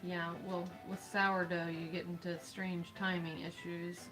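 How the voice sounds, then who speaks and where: A woman's voice: fairly low pitch, little pitch movement, measured pace, rough recording. A woman in her thirties with a snuffly nose, chatting in a dry, teasing way. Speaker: co-host reading chat. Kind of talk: casual chat. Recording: stream with faint background music. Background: faint background music.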